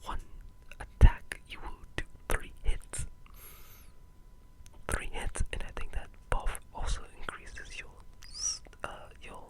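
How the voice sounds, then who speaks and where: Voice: takes it slowly, a little mellow, poor audio. Whispered, rambling ASMR gaming commentary. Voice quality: breathy unvoiced whisper. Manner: rambling, enthusiastic. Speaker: gaming channel creator.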